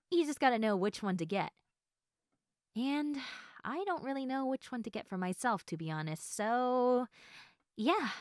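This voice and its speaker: Playful high-voiced young woman acted by a woman. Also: dry recording, clean studio sound. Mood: candid, casual.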